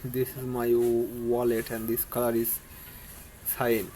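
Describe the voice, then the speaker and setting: A man with a Bangladeshi accent coaches beginners in spoken English, speaking slowly and in a flat, even tone. His phrasing is earnest and halting, full of restarts and broken grammar. A faint background hum sits under his voice. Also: medium pitch, rough recording. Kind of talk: informal English lesson to camera.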